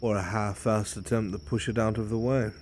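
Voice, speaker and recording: A man's voice: lowish voice, steady tone, fairly quick, a little mellow, a little hiss or hum, lightly acted. Cool, commanding inquisitor played by a man. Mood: dry, assured. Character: seasoned male ogre-hunting inquisitor, cool and commanding. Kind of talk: improvised fantasy roleplay.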